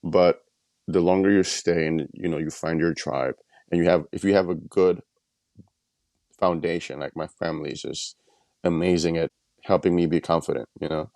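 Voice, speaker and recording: A man's voice: low-pitched, fairly even intonation, moderate pace, darkish tone, no room sound. A man from Nigeria, a guest on a podcast, answering questions in a reflective way with many "you know"s and "um"s. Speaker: guest artist. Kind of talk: relaxed interview answers.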